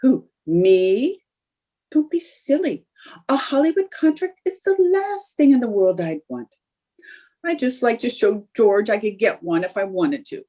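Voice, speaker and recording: Woman as young housewife, radio comedy, raspy edge, swooping intonation, eager, chatty schemer. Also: mid-range voice, big pitch swings, unhurried, warm tone. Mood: airy. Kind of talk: old-time radio comedy acting. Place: indoors, remote video call.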